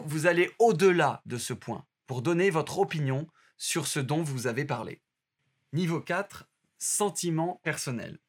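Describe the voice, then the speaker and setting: A man reading an audio course aloud in a steady, instructive way. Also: on the high side. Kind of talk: scripted narration.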